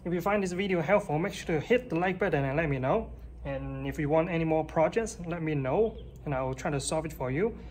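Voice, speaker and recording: A man's voice: fairly high pitch, fairly quick, darkish tone, a little hiss or hum. A man in his thirties presenting a casual, hands-on tech tutorial and explaining it step by step.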